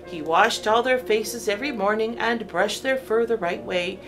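A woman with a fairly high voice reads a children's storybook as narrator. Her delivery is deliberate and expressive. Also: over music. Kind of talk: scripted children's story reading.

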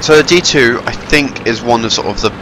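A man's voice: mid-range voice, fairly quick, noisy background. A young man with a stuffy head cold giving rambling, wry commentary over a tank game. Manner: rambling, wry, self-deprecating. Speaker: gameplay commentator. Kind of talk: casual gameplay commentary. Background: game sounds, gunfire and engines.

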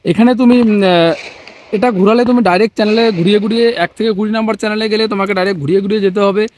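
Fast, high-pitched man. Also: fairly even intonation, darkish tone, a little hiss or hum. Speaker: vlogger and police officer. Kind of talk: explanatory gadget demonstration to camera. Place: open rocky field in hills, outdoors.

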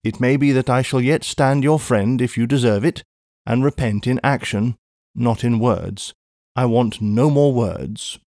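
Male reader as a reproving elderly gentleman, measured and dry. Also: medium pitch, dry recording, natural voice. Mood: stern.

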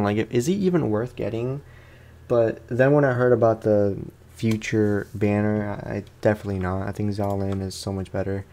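A man's voice: fairly low pitch, warm tone. A man giving casual let's play commentary on a video game, chatting to viewers in slangy language. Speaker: gaming YouTuber.

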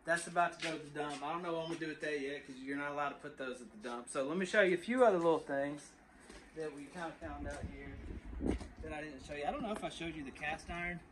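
A man's voice: a little high, quick pace, over a noisy crowd. A man, chatting casually to viewers while sorting through a storage unit. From Texas. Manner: chatty, talks to viewers as y'all. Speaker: storage unit reseller vlogger. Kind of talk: casual vlog narration. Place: outdoor storage unit, then inside a truck. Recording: faint background noise.

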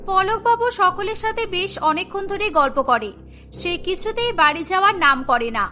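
A woman narrates a scripted cartoon tale in a very high, bright voice. Soft music plays behind her storytelling. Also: lively intonation, moderate pace.

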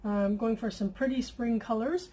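Lady chatting thru a paint pour at home, mellow husky voice, bit of filler as she goes. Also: a little high, normal speed, rough recording. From Canada. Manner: chatty and relaxed, with fillers and asides. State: feels she is losing her voice. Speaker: acrylic pouring art channel host. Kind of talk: casual tutorial chat while painting. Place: home craft table, indoors.

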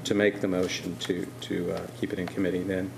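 Deep, flat older man chairing a meeting. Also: vocal fry, background noise. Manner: procedural, unhurried. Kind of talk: procedural chairing. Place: city council chamber. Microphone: desk microphone.

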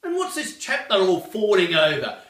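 Blustering old English war veteran. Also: male voice, high voice, very animated, normal speed, fairly bright tone. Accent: upper-class English. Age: older. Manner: indignant ranting, guffawing. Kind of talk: comedy monologue. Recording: echoey room, distant from mic.

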